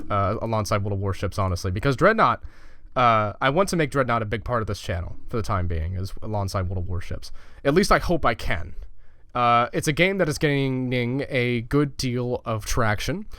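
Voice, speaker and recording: Blunt, chatty young gaming vlogger. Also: male voice, mid-range voice, a bit bright, clean tone, no room sound. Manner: blunt, profane, loose and chatty with many fillers. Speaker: gaming YouTuber. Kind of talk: casual channel update vlog.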